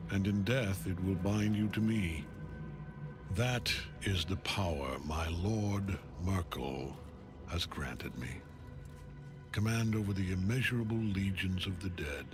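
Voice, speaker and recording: A man playing a grim older male general who serves a death god, speaking in a measured, cold, brooding way in game cutscene dialogue. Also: sits low, lively intonation. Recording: game audio with loud music.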